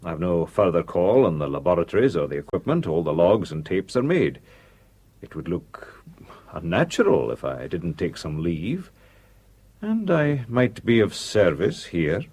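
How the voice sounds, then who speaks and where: A husky cold schemer, lowish voice, lively pitch, measured, radio play. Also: male voice, exaggerated voice. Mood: cool. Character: cold scheming male conspirator. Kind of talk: 1970s radio drama acting.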